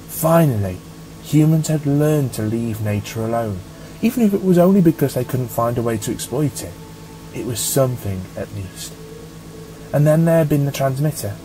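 A man narrating a scripted story as an audiobook reader, with a measured storytelling manner. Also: medium pitch, dark tone, rough recording.

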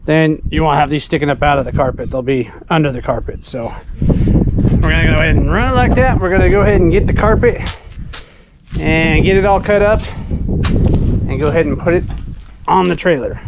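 A man's voice: a little high, moderate pace, pauses often, highs cut off, very noisy. Man explaining a DIY build as he works. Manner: plain step-by-step explaining while working with tools. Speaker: channel host. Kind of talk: casual how-to narration. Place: driveway outdoors, then house kitchen floor. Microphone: body-worn action camera.

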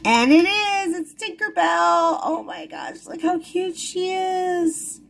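A woman's voice: very high voice, takes it slowly, vocal fry. A woman chatting casually while unboxing toys at a table, easily delighted and giggly. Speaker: toy unboxing vlogger. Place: indoors at a wooden table. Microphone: camera over the table, hands-only.

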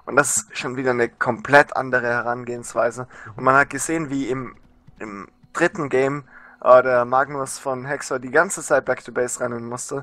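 A male co-commentator from Austria with a light Austrian accent breaks down the match analytically and laughs easily. His tone is bright and forward at an ordinary pitch. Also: fairly even intonation, normal speed, no room sound. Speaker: esports co-commentator. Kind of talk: live game commentary.

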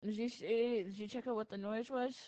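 A man's voice: very high-pitched, fairly even intonation, fairly quick, darkish tone, no echo, low-quality recording. A young man chatting casually while improvising a skit with gaming friends. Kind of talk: casual improvised skit chat in a social VR game.

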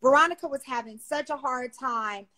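A woman's voice: high voice, normal speed. A woman hosting a casual livestream from her bedroom, chatting animatedly on her phone. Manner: animated, exclamatory, gestures a lot. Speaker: livestream host. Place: bedroom, seated on a bed. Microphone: phone on a video call.

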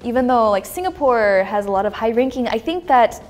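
A woman's voice: fairly high pitch, lively intonation, brisk, darkish tone. An American woman with an American accent, talking thoughtfully and kindly as a guest on a panel. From United States. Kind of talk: casual panel discussion.

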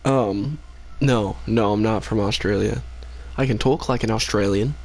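A sleepy young man talks to his stream chat in a low, gravelly voice. He speaks slowly and rambles between fillers, clearly tired late at night. The sound is a casual home desk mic. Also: darkish tone. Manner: rambling, laid-back, many fillers, reads chat aloud. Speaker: game streamer. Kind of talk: casual live-stream chat while gaming.

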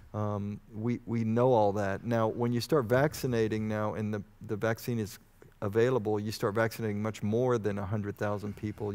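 A man in his fifties with a fairly low voice gives expert answers in an interview. He explains medical matters in measured, halting phrases, speaking into a headset mic on stage. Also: darkish tone. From Houston, Texas, United States. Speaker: medical expert guest, vaccine researcher. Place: on stage in a church auditorium.

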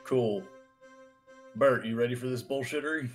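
A man plays a tabletop roleplaying game, talking casually at a medium pitch. He jokes and swears as he explains his moves. Faint music sits behind him. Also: moderate pace. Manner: joking, sweary. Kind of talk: casual chat while playing.

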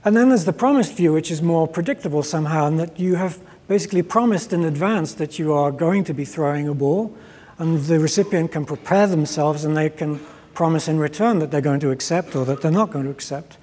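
A middle-aged man with a husky voice giving an explanatory conference keynote on a lapel mic, measured, with hesitant pauses. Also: on the high side, darkish tone. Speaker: keynote speaker. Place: large conference stage, indoors. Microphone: clip-on lapel mic. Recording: reverberant conference hall.

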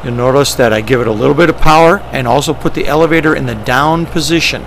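A man's voice: medium pitch, quick pace. A man giving matter-of-fact, step-by-step instructional narration as a pilot, often in short clipped phrases. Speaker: pilot presenting ski flying. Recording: steady engine and vehicle noise.

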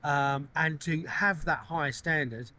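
Chatty middle-aged man, slightly raised pitch. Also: measured pace, bright, forceful tone. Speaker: renovation vlogger. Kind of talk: casual chat to camera.

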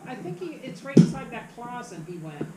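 A man's voice: very high-pitched, very animated, brisk, dark tone. A man chatting casually as a homeowner, indoors and away from the camera. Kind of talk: casual chat. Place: indoors in a house. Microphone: camera across the room. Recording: distant, echoey, noisy room pickup.

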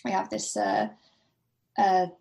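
A woman's voice: on the low side, very animated, slow pace, vocal fry, darkish tone. A woman speaking as an academic guest in an informal podcast discussion, hesitant and thinking aloud as she goes.

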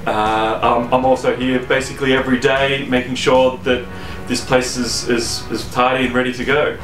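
A man's voice: mid-range voice, normal speed. Man in his thirties, roomy echo. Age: 30s. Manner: relaxed, rambling with fillers and restarts. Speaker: venue founder and sound engineer. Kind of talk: casual interview. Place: venue lounge, indoors. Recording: roomy echo, faint music behind.

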